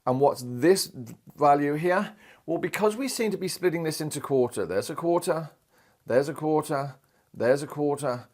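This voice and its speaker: Lively, chatty male maths teacher. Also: on the high side, normal speed. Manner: lively, chatty, acts out quoted voices. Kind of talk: recorded maths lesson. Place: indoor studio in front of a screen.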